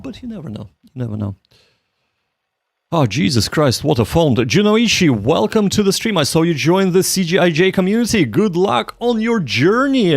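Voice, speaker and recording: A man's voice: fairly high pitch, creaky, no room sound, clean studio sound. A man hosting a livestream, chatting casually and jokingly with viewers and often repeating short phrases. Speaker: livestream host. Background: faint music.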